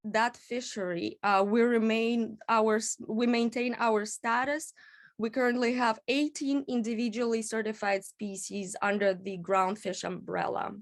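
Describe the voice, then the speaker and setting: Woman from Kazakhstan giving a talk. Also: a little high. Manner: measured, with frequent "um" pauses. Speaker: executive director, invited speaker. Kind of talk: presentation at a public panel.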